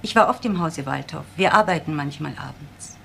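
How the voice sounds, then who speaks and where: An efficient, guarded laboratory assistant in a crime radio play. She is matter-of-fact and clipped, with a gravelly creak. There is faint noise behind her. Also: deep voice, animated, moderate pace, a bit bright, heavily acted. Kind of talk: German crime radio drama acting.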